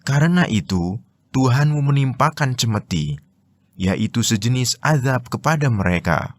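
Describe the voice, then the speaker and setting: A man's voice: medium pitch, lively intonation, a little slow. A man with an Indonesian accent reading religious commentary as formal scripted narration.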